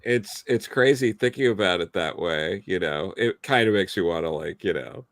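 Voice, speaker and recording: Halting man in casual chat, dry sound. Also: sits low, lively intonation, no echo. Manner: halting, repeats words as he starts. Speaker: guest on a fan live stream.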